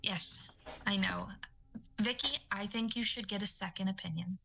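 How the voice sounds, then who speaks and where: An actress playing an anxious, intense eye doctor in an audio drama, urgent and dramatic. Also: female voice, mid-range voice, moderate pace, vocal fry, sharp tone, rough recording. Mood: apologetic.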